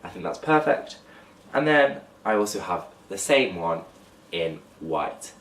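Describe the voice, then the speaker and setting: Nasal young man, low and echoey. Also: sing-song, a little slow, a bit bright, distant mic. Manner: chatty, talks to camera while holding up clothes. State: blocked nose, slightly nasal. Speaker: fashion vlogger. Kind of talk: casual haul vlog. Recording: echoey room, voice off-mic.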